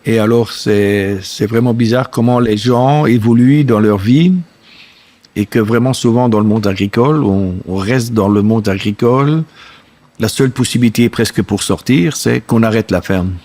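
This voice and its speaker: A man with a German-speaking Belgian accent, hosting a podcast interview. He talks earnestly, searching for words with halting phrasing. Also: fairly low pitch, darkish tone. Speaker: podcast host, farmer. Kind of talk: casual interview chat.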